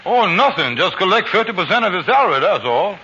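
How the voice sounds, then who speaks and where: A man's voice: high-pitched, sing-song, pushed and bright, full character voice. A slick, scheming radio con man. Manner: fast-talking, persuasive, coaxing. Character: smooth-talking scheming male con man. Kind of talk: 1940s radio comedy acting. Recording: lo-fi old radio recording with faint hiss.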